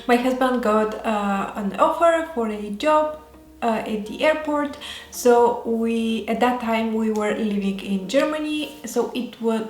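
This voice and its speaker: A woman with a Bulgarian accent talking casually as a vlogger, in a halting way that often pauses mid-sentence. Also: a little high. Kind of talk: casual talk to camera. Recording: roomy echo, faint music behind.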